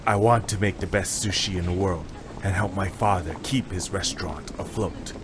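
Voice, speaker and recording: A man's voice: low-pitched, normal speed, noisy background, natural voice. A man playing a frank, earnest sushi chef with a fighting past in an audio drama. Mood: reflective. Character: candid male sushi chef, former fighter. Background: music.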